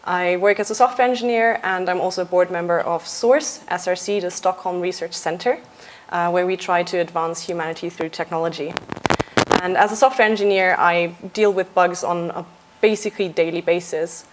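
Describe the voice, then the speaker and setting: A young adult woman from Austria, a software engineer giving a conference talk in a casual, lightly joking way with frequent uhs. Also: fairly low pitch, steady tone, moderate pace, slight background noise, poor audio. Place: conference stage.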